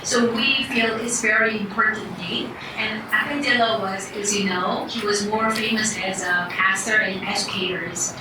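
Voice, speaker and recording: A woman with a Korean accent giving an academic conference talk in English, halting with fillers and restarts. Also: medium pitch, fairly even intonation. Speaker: conference presenter. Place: conference hall. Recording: echoey room, distant mic, background noise.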